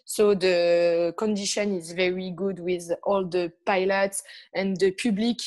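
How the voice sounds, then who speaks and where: A woman with a French accent, not a native English speaker, answering interview questions in halting English with many pauses and fillers. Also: on the low side, steady tone, darkish tone. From France. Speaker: guest, motorcycle racer. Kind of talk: casual interview chat.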